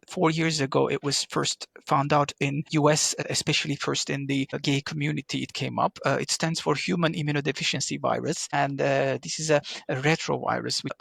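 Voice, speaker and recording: Gravelly, husky man from Turkey. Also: fairly high pitch, a bit bright, no room sound, studio quality. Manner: explanatory, frequent fillers and restarts. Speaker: guest, pharma digital manager. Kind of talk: interview answers.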